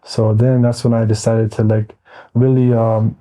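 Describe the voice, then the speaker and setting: American man, forties, very mellow tone, little pitch variation, easygoing, meandering, casual interview guest. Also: level tone, a little slow, poor audio. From Wisconsin, United States. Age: 40s.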